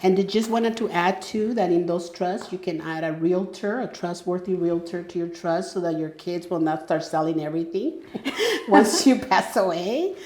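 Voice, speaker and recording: Low, mellow woman from El Salvador. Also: animated, moderate pace. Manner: conversational, frequent fillers and restarts. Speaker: guest entrepreneur. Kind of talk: casual interview talk. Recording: echoey room.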